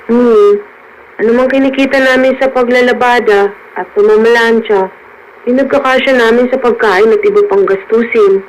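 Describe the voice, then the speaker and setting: A woman's voice: on the high side, fairly even intonation, moderate pace, close to an everyday voice, low-quality recording. Earnest young daughter played by a woman, faint noise. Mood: resigned, sad. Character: dutiful, wistful young daughter longing for college. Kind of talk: radio drama acting.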